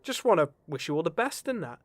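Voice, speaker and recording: A man's voice: fairly high pitch, big pitch swings, fast talker, no room sound. A man playing a flippant, chatty adventuring storyteller in a comedy fantasy audio drama, with a glib, colloquial manner.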